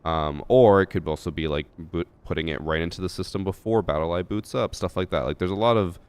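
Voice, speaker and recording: A man's voice: sits low, brisk, clean tone. A young man streaming a shooter game, chatting casually with his chat and thinking aloud with fillers, a little stuffy from a head cold.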